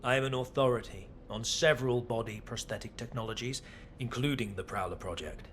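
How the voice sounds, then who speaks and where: A man playing a composed, calculating scientist official in a video game, speaking in a measured, formal, condescending way. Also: medium pitch, a bit bright, a little hiss or hum, close to an everyday voice. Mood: calm, calculating. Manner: measured, formal, breathy in places. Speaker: voice actor. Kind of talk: dramatic game dialogue.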